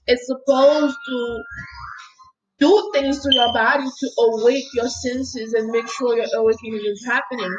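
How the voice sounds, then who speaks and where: A woman healer giving an earnest motivational talk, telling a personal story in a soft, lilting voice and often checking in with "okay?". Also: fairly high pitch, steady tone, a little slow, highs cut off, soft background music. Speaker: spiritual healer and mindset coach.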